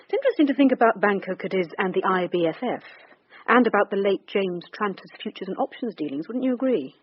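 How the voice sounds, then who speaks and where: An actress playing a shrewd British police detective in a radio drama, questioning people in a probing, businesslike way. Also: female voice, mid-range voice, expressive, fast talker, husky. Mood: pressing, sardonic. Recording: dull, hissy radio drama recording.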